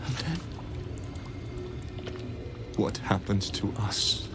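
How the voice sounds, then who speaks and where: A man playing a despairing, long-imprisoned vampire spawn in a fantasy game, weak, breathy and bitter. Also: lowish voice, animated, very slow, over music. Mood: frightened, resigned. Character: despairing, broken male vampire spawn prisoner, long captive. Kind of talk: cinematic fantasy game acting.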